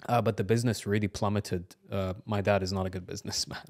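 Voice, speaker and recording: A man's voice: on the low side, steady tone, normal speed, a little mellow. A man of about thirty who grew up in New Zealand, not an English speaker, talking casually and hesitantly as an interview guest. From Iraq-born, grew up in New Zealand. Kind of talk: casual autobiographical conversation.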